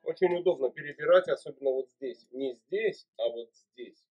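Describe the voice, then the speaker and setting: A man's voice: mid-range voice, moderate pace, over loud music. A man in his forties chatting over a video call from a small room at home, giving fatherly encouragement. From Uzbekistan. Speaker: video chat host. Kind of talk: casual video chat. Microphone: webcam mic. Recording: tinny phone-like webcam audio.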